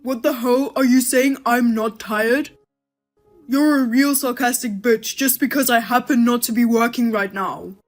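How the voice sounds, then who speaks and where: A woman voicing an irritable, jobless husband who blames and snaps loudly. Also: on the high side, steady tone, measured pace, soft background music, close to an everyday voice. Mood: angry. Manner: loud, blaming and rude.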